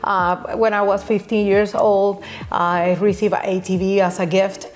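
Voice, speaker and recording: Puerto Rican woman, halting and laughing. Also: mid-range voice, little pitch movement. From Puerto Rico. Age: middle-aged. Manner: halting, frequent restarts, laughs often. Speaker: guest, nail educator. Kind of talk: casual interview chat.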